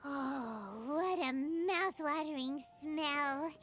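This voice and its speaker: A woman voicing a tiny, excitable, childlike fairy companion with a squeaky, cheeky delivery in a video game. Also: extremely slow. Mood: eager, curious. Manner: refers to self in third person, exclaims eagerly. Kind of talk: cartoonish video game voice acting. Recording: music behind the voice.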